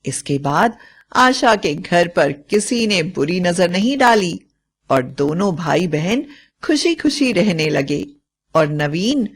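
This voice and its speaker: A woman's voice: on the low side, very animated, slow, husky. A woman narrator reading a scripted bedtime story for children.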